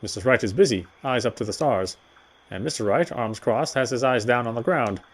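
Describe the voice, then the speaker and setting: A man's voice: medium pitch, a little fast. A man narrating a visual novel aloud in a dramatic storytelling style as he plays. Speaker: gamer reading the game text aloud. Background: faint game music.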